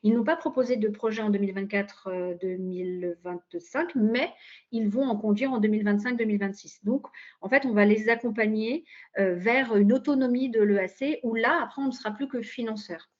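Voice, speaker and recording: A woman explaining policy in a webinar, haltingly searching for her words. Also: mid-range voice. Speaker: local government cultural policy official, panel speaker. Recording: dull, lo-fi online call audio.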